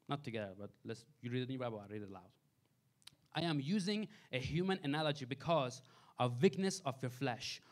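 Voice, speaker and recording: A male pastor preaches in a small church sanctuary, holding a handheld mic. His voice sits a little high for a man, and he moves between forceful, raised emphasis and quiet, dropped asides. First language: Farsi. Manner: preaching with wide swings between loud emphasis and soft asides. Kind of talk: sermon.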